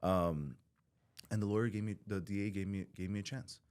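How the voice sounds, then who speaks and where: Very deep-voiced man talking bluntly. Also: steady tone, fairly quick, darkish tone, clear, resonant tone. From Southern California. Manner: blunt, swears freely, rambling with restarts. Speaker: podcast guest in recovery. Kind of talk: candid podcast conversation.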